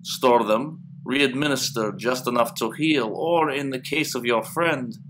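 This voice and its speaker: A man playing a cold, condescending military doctor, an audio drama villain with a measured, patronizing delivery. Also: medium pitch, a bit bright, a little hiss or hum, close to an everyday voice, poor audio. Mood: cold, smug. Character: cold, condescending, sinister military doctor who manipulates corpses.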